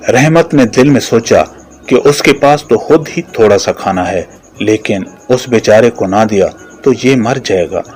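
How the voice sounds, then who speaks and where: Low-voiced male storyteller. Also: unhurried, a little mellow, soft background music. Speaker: narrator. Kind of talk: scripted moral story narration.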